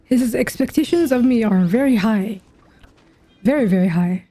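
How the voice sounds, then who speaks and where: A woman's voice: a little high, animated, moderate pace, warm tone. A woman giving casual reaction commentary as she plays a video game. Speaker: gaming streamer. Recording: game music behind voice.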